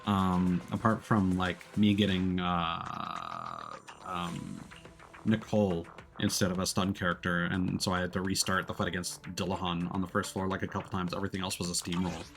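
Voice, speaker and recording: A man's voice: low-pitched, expressive, normal speed, vocal fry, fairly bright tone. A man giving casual live commentary on a video game, thinking aloud in a hesitant, rambling, self-deprecating way. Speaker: livestreamer playing a video game. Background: game music.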